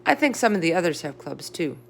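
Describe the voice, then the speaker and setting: A woman's voice: lowish voice, big pitch swings, fairly quick, gravelly, slight background noise. A woman voicing an earnest, slightly sheepish young schoolboy in a children's storybook.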